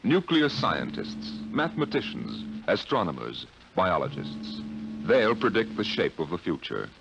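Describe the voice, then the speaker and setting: A man's voice: huge pitch swings, gravelly, rough recording. A man announcing a 1950s science fiction radio show in a dramatic, theatrical style. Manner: slow, booming, theatrical delivery. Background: loud dramatic music.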